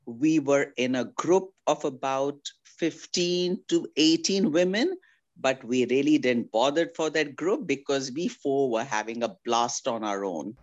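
Slow-spoken affectionate woman in her 60s. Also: a bass voice, animated, lo-fi sound. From India. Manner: affectionate, calls people darling. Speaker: guest. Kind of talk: casual family interview.

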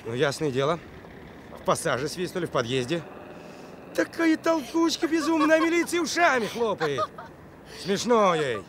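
A man's voice: high-pitched, wildly swooping pitch, normal speed, pauses often, noisy background. An actor playing an indignant, whining working man who has been robbed, exclaiming and complaining in a radio play. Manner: exclamatory, complaining, repeats a questioning "eh?".

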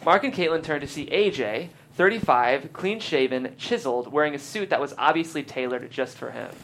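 A woman's voice: low voice, lively intonation, a little fast, a bit bright, slight background noise, poor audio. A woman hosting a podcast and reading scripted stage directions as narrator. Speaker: host and stage-direction reader.

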